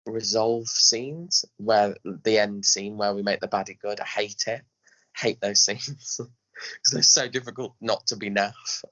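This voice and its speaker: A man's voice: fairly low pitch, sharp tone, muffled recording. A man chatting casually as an interview guest, speaking emphatically and often acting out little asides. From England. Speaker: podcast guest, pantomime director.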